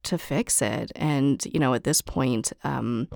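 A young woman hosting her podcast, talking casually to listeners with a hoarse, raspy voice and many ums. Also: extremely low pitch, takes it slowly, dry recording, studio quality. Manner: chatty, frequent ums and likes, laughs softly. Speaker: podcast host. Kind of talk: after-show update to listeners.

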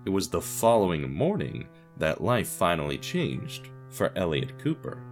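A man's voice: sits low, big pitch swings, measured pace, faint music behind. A man giving scripted story narration as narrator of an audio drama podcast.

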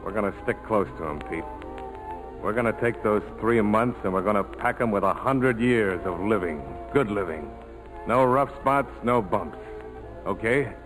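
An American actor playing a tough, loyal ex-bomber pilot in a 1940s radio drama, speaking in clipped, terse phrases. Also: male voice, fairly low pitch, moderate pace, music in the background, lightly acted, poor audio. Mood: brisk, determined. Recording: vintage 1940s radio broadcast.

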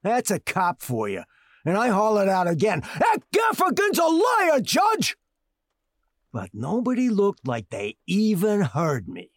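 An actor in a 1940s radio drama playing a brash, working-class husband who narrates and hollers in outrage. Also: male voice, high voice, very animated, normal speed, no echo, clean studio sound. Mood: puzzled. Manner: hot-tempered, yells and hollers.

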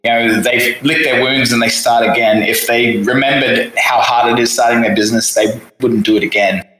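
A man from Australia in his thirties with an Australian accent, telling his story as a guest in a lively, self-mocking way. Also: mid-range voice, normal speed, slight background noise. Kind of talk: casual storytelling interview. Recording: echoey room.

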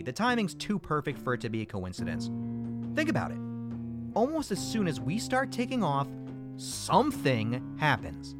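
An actor playing an indignant flat earther who rants in an emphatic, profane, theatrical way in a comedy audio drama. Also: male voice, fairly high pitch. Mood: deadpan, certain. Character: indignant conspiracy-minded male flat earther video ranter. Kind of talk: audio fiction comedy acting.

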